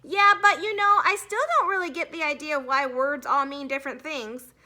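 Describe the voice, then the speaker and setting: A woman's voice: high voice, fairly even intonation, moderate pace, pushed and bright, pitched above the performer's own voice. A woman voicing a cheeky, earnest schoolboy in a storybook, speaking with showy keenness. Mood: questioning. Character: schoolboy Nick, cheeky and earnest, stalling for time.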